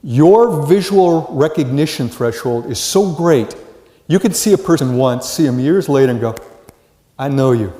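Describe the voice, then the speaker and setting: A man's voice: a little high, moderate pace. An American man in his sixties or older giving a live lecture to students, telling stories and asking rhetorical questions. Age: 60s or older. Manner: animated storytelling, rhetorical questions, acts out brief dialogues. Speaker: college psychology lecturer. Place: large lecture hall with reverb. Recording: echoey hall, reverberant live lecture.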